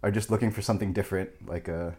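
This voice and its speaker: Fast-talking young man, rambling casually. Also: fairly low pitch, fairly even intonation, warm tone, slightly rough. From United States. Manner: rambling, heavy filler "like", chuckling. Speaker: podcast guest. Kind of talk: casual chat.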